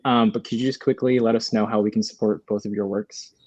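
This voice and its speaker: A man's voice: lowish voice, fairly even intonation, a little fast, a little mellow, no echo. A man, politely and casually moderating a question-and-answer session over a video call. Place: room at home. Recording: dull, muffled video-call audio.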